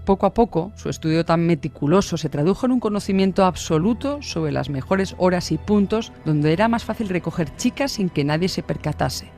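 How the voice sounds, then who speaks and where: A male narrator with a slightly high voice and a Castilian Spanish accent tells a true-crime story in scripted radio style. Faint music plays underneath. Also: expressive, brisk, vocal fry, few pauses, darkish tone. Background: music bed.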